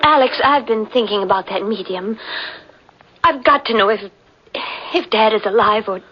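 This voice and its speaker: An actress playing an emotional, trusting young woman in an old-time radio drama, with a breathy, hesitant delivery. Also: fairly high pitch, moderate pace, faint music behind. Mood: hesitant, anxious. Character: anxious young woman, trusting medical student grieving her missing father. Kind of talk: 1940s radio drama acting. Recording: muffled vintage radio recording.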